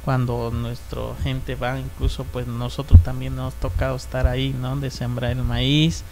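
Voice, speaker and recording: A man's voice: mid-range voice, little pitch movement, normal speed, a little mellow, a little hiss or hum. A man in his thirties from Oaxaca with a Mexican Spanish accent, a native Chinantec speaker, talking reflectively as a studio guest, often dropping tag questions and fillers, into a handheld mic in a small studio. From San Felipe Usila, Oaxaca, Mexico. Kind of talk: casual talk show conversation. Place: small decorated indoor studio.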